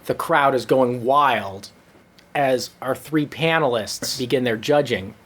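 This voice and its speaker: A man reading a comic story to listeners with dramatic, drawn-out noir-style narration. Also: mid-range voice, fairly bright tone. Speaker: comedy storyteller.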